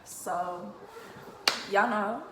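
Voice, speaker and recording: A woman's voice: mid-range voice, drawn-out words, crowd noise. Shy teen girl from Chicago. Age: teens. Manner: soft-spoken, self-described shy, filler-heavy. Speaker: vlogger. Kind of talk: casual storytime chat. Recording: roomy echo, faint hiss.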